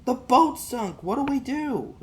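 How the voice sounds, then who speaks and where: An upset, stubborn working-class boy acted by a man in an audiobook, his voice pushed up high. He pleads urgently in rough country grammar, over a faintly noisy recording. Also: very animated, slow pace, heavily acted. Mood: urgent, indignant.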